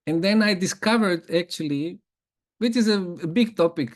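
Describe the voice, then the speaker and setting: A man's voice: high voice, normal speed. Man, conversational. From Germany. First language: Bosnian. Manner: conversational, chuckles often, frequent tag questions. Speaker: spiritual teacher. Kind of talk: informal spiritual talk.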